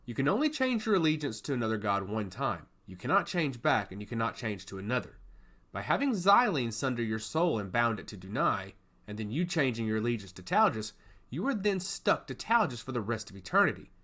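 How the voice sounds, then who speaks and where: A stern god of intellect, as a male narrator plays him, lays down the law with sweeping, animated pitch. His delivery is solemn and emphatic, with a sly edge, in the manner of a fantasy audiobook. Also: fairly quick. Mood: wry, emphatic. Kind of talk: fantasy audiobook character acting.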